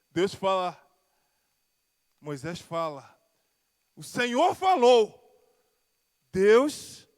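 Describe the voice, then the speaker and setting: A man's voice: sits high, long reverb tail. A pastor from Rio de Janeiro with a Carioca accent, preaching and telling his own testimony, his voice swelling and falling and drawing out key words. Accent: Carioca Brazilian Portuguese. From Rio de Janeiro, Brazil. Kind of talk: sermon with personal testimony. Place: church sanctuary.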